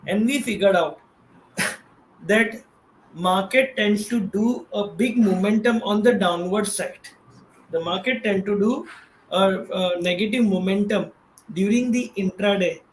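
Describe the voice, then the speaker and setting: A man's voice: high-pitched, measured pace. A man with an Indian accent explaining things as a guest expert in a casual, conversational way, checking in as he goes. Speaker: guest expert, trading professional. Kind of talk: casual explanatory talk on stock trading. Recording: roomy echo, faint background noise.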